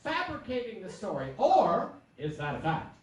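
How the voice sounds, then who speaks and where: A woman's voice: medium pitch, huge pitch swings, normal speed. A woman playing an exuberant, teasing game show host in a theatrical live comedy show. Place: live theatre stage before an audience. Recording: roomy stage recording from far off, faint noise.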